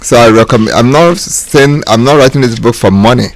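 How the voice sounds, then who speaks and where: A man giving an explanatory talk as a radio guest, in deliberate phrases broken by pauses. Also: medium pitch. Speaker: author and radio guest speaker.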